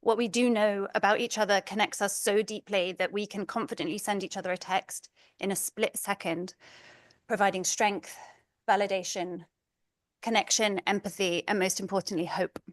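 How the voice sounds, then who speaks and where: A middle-aged woman speaks with an English accent in an earnest, measured way, a touch nervous as she gives a prepared talk. Her voice sits at an ordinary pitch for a woman. The sound is dry and close, with no room echo. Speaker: community speaker, parent advocate.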